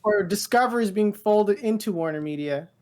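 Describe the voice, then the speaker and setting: A woman co-hosting a casual pop culture podcast, rambling and halting as she thinks aloud. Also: medium pitch, lively intonation, darkish tone. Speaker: podcast co-host.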